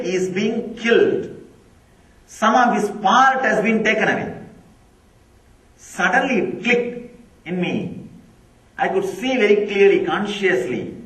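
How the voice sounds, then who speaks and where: Slow, high-voiced man lecturing. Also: bright, forceful tone. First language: Tamil. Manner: slow, emphatic, repeats key phrases. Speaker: spiritual teacher. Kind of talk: spiritual discourse. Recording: echoey room, from far off.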